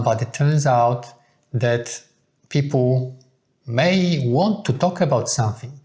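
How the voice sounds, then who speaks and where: Male co-host, mid-range voice, earnest and measured, relaxed conversational video. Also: mid-range voice. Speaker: co-host of a couple's video channel. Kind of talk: relaxed sit-down chat to viewers.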